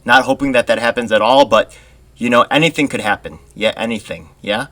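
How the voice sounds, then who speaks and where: A man's voice: mid-range voice, a little fast, sharp tone. A man from Korea hosting a casual interview, chatty and loose, often laughing and acting out quoted voices. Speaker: podcast host.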